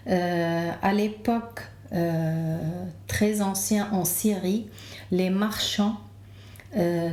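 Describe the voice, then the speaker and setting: Woman, Lebanese accent, slow hesitant delivery, mellow tone, casual podcast guest, echoey room. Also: mid-range voice. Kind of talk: casual interview, telling own story. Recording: roomy, echoing room.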